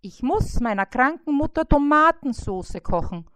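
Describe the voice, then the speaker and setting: A woman's voice: sits high, expressive, unhurried, fairly bright tone, dry recording, voice pushed up. A woman voicing a whiny, demanding male fly caught in a spider's web, who nags shrilly and dramatically. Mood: self-important.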